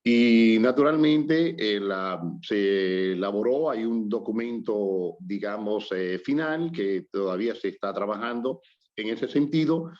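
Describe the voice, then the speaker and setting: A man's voice: lowish voice, a little slow. Dominican man musing on culture. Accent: Dominican Spanish. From Dominican Republic. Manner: reflective, hesitant with fillers and restarts. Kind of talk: casual conversation on culture and language. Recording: slightly dull lo-fi audio.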